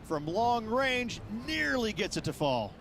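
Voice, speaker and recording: A man's voice: high-pitched, very animated, slow pace, creaky, music in the background, full character voice. A man playing a folksy, upbeat golf broadcast partner in a video game, commenting in short phrases. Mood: observant.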